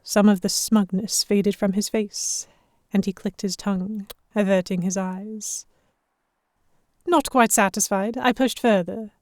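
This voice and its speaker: Woman as young lady of a pirate romance, sharp-tongued, introspective, expressive first-person narration, audio drama, mid-range pitch, dry close sound. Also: a little slow, no echo, natural voice. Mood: teasing. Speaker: narrator and lead character.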